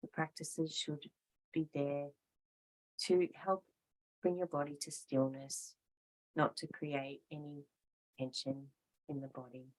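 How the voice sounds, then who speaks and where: A woman teaching yoga and guided meditation, calm and measured, leaving pauses between short phrases. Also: deep voice, poor audio.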